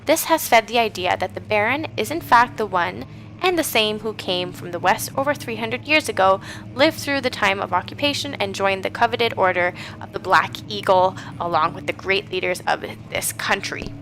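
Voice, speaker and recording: A young woman with a raspy voice from a cold, reading game notes aloud and reacting nervously as she plays a horror game on a headset mic. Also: mid-range voice, normal speed, a bit bright, poor audio. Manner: reads notes aloud, then jumpy reactive chatter. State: getting over a cold. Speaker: gaming streamer. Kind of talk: horror game let's play commentary. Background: game music.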